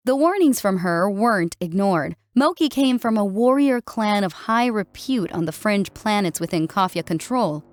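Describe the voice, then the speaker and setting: Female audiobook narrator, mid-pitched, scripted fiction read over faint background music. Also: no room sound.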